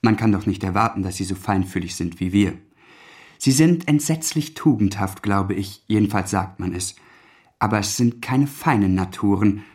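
An actor in a German radio drama playing a charming, arrogant young aristocratic student, languid, teasing and condescending. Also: male voice, on the low side, normal speed, a little mellow, slightly rough. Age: young adult.

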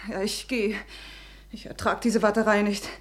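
An actress playing an outspoken, reproachful younger sister in a German radio play, with an insistent manner. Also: female voice, mid-range voice, level tone, moderate pace, lightly acted. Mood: agitated. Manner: insistent, accusing.

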